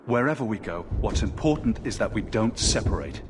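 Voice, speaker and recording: An actor playing a steady, protective young mage leading his family in a fantasy video game, calm and commanding. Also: fairly low pitch, fairly quick, background noise, lightly acted. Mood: urgent, resolute. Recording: clear battle ambience behind voice.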